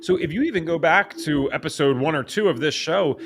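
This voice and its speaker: Excitable man hosting a podcast. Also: on the high side, crowd noise. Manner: excitable, fast-talking. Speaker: podcast host. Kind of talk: casual interview chat. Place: home office, empty spare bedroom. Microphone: studio microphone.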